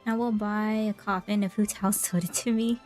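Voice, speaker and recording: A woman's voice: mid-range voice, steady tone, moderate pace. A woman game streamer, casually commenting and reading aloud in an easygoing way. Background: soft game music.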